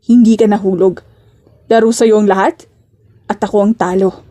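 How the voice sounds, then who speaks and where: A woman puts on a serious, brooding male boss for an audio romance drama. The delivery is curt and stern, in a soft, mellow timbre with a cold, impatient temper. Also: medium pitch, steady tone, normal speed, close to an everyday voice. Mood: intense.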